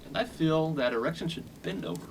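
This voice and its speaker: An actor playing a bullying, dim young fraternity boss in a comedic radio play, blunt and domineering. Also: male voice, a little high, lively intonation, brisk, slight background noise, poor audio. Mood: hostile.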